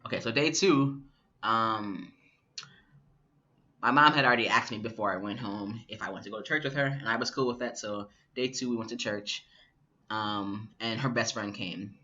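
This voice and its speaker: A young man in his twenties telling a personal story to the camera in a relaxed, reflective way with many fillers. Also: lowish voice, moderate pace. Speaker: vlogger. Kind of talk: casual personal vlog storytelling. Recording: dull lo-fi camera audio.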